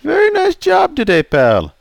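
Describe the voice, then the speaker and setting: A man's voice: very high-pitched, huge pitch swings, a little slow, gravelly, no room sound, pitched above the performer's own voice, heavily acted. A man voicing a large, smug older male customer who teases in a folksy way. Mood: teasing. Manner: teasing, folksy.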